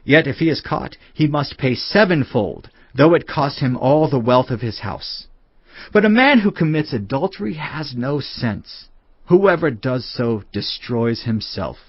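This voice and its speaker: A man's voice: mid-range voice, lively intonation, unhurried, no room sound. A man giving a dramatised Bible narration as a solemn, admonishing fatherly teacher. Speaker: scripture narrator. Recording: slightly muffled, music bed behind. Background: background music.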